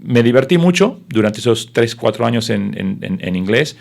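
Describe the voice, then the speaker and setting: A man's voice: medium pitch, big pitch swings, moderate pace, vocal fry, a little mellow. A middle-aged man, a sports broadcaster whose first language is Spanish, telling his story in an interview with many fillers and restarts.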